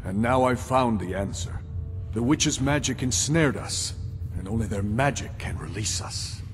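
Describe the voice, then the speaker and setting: An actor playing a weary, wise old male warrior leader in a fantasy game, speaking in a solemn, measured way. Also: medium pitch, expressive, close to an everyday voice. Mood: solemn, explaining. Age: older. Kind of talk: scripted fantasy game dialogue. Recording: hall reverb with game music behind.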